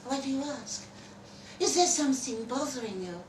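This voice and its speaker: A woman's voice: high-pitched, lively intonation, moderate pace, voice pushed up. A woman reading a story aloud, playing a brazen, affectionate middle-aged French lady in a teasing, coaxing manner. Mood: playful, coaxing. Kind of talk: live short story reading. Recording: echoey venue, far from mic, noisy.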